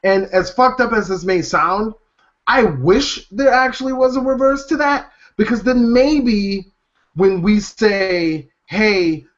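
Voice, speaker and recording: A man talks bluntly and profanely on a casual podcast, his voice sitting a little high for a man. He speaks with animated emphasis. The audio is dull and lo-fi. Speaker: podcast host. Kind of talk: casual chat.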